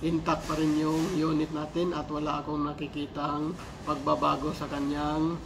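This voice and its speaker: A man talks in a fairly high voice over clearly audible noise. He sounds like a casual gear reviewer on a vlog. His delivery is halting and explanatory, and he often repeats key words. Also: completely flat delivery. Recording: clearly noisy amateur recording.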